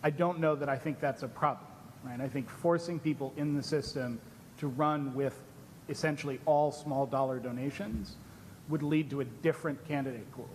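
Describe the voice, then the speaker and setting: A man's voice: moderate pace, darkish tone. A man giving nuanced, conversational, unscripted answers as an advocate at a public hearing. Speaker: advocate answering commissioner questions. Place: large indoor public hearing room. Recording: echoey hall, rumbling room noise.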